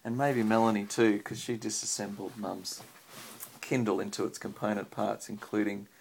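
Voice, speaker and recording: An Australian man with a husky, creaky voice, reading a picture book aloud and chatting simply with a child as a parent would. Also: fairly low pitch, flat delivery, normal speed, a little hiss or hum, poor audio.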